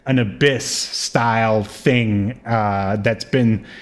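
A man's voice: lowish voice, steady tone, very slow. A man co-hosting a podcast in casual, unscripted chat, thinking aloud in an informal, rambling way. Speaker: podcast co-host.

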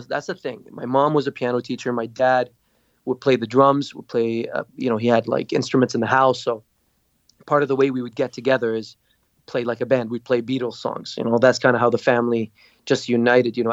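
A man from Lebanon tells his story as a podcast guest, lively and acting out what others said. His voice sounds dry and a little dull, with no room echo. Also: mid-range voice. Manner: animated storyteller, acts out quotes. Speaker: guest, singer and producer. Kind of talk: casual interview storytelling.

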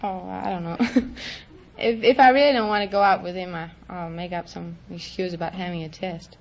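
A woman's voice: fairly low pitch, steady tone, normal speed, creaky. A young woman, a non-native English speaker with a foreign accent, answering casually as a quiz contestant. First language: not English. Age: young adult. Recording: muffled noisy old radio recording.

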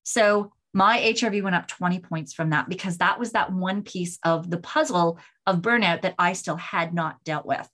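Forties woman podcasting solo, kinda low voice, rambly and candid, lots of restarts. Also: normal speed, hardly any pauses, a bit bright. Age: 40s. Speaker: podcast host. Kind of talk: casual solo podcast talk.

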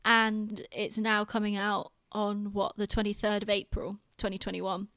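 A woman speaks at an average pitch as the co-host of a podcast. Her talk is casual and bantering. The recording is dry, with hardly any room sound. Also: flat delivery, a little slow, fairly bright tone, no room sound. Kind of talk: casual chat.

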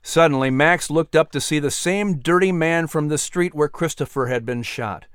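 A man's voice: a little high, normal speed, a bit bright, smooth, no room sound, studio quality. A man narrating an audiobook story in a dramatic, scripted style.

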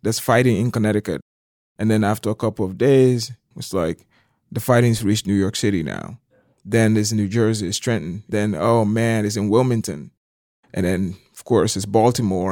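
A man's voice: on the low side, darkish tone, no echo, clean studio sound. A middle-aged man from Liberia, explaining things conversationally as an interview guest. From southeastern Liberia.

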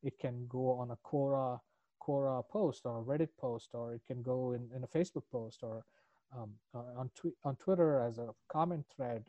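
A man's voice: medium pitch, warm tone, no room sound, rough recording. A middle-aged man with a foreign accent in English, a business coach answering podcast interview questions in an earnest, reflective, rambling way.

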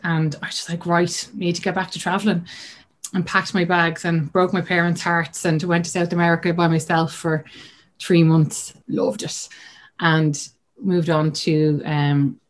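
A woman's voice: fairly low pitch, flat delivery, measured pace. A woman in her thirties with a Dublin accent, chatting casually as an interview guest and acting out remembered conversations. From Ireland. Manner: chatty, many fillers, acts out quoted dialogue. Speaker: guest coach.

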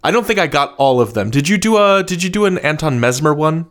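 A man's voice: a little high, animated, fast, a bit bright. A man interviewing guests as a podcast host in casual, conversational talk.

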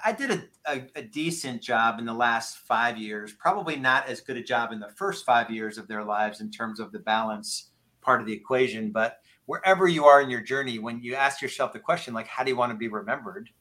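A man around fifty hosting a casual podcast interview, speaking in an earnest, rambling and halting way. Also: medium pitch, sharp tone. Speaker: podcast host.

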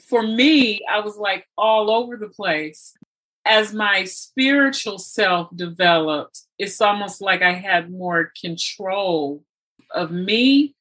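A woman with a bright, forward voice speaks in a reflective conversation. Her pitch sits in the middle range for a woman. She is thoughtful and a little halting, often searching for the right word. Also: rough recording. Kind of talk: reflective conversational talk about spirituality.

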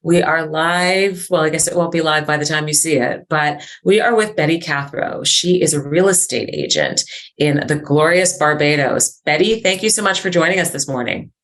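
A woman hosts a video interview in an upbeat, eager manner. She answers her guest with quick affirmations such as "perfect" and "awesome." Her voice sits a little low for a woman. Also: expressive, fairly bright tone. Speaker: interview host.

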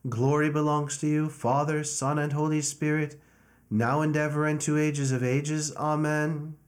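A man, a priest, intoning prayers and a slow, repeated liturgical chant. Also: on the high side, little pitch movement.

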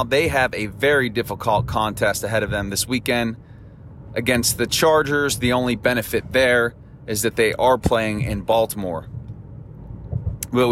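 A man hosting a solo sports podcast, talking through upcoming games in an upbeat, chatty way, his voice temporarily husky. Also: medium pitch, a bit bright, a little hiss or hum. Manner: upbeat, chatty analysis with a cheerful sign-off. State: voice temporarily husky and lower than his norm. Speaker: podcast host.

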